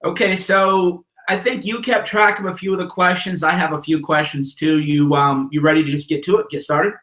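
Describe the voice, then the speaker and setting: Bright-voiced, echoey male interviewer. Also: fairly high pitch, steady tone, moderate pace. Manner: conversational, frank, with restarts. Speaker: host of call-in show. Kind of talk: casual interview chat.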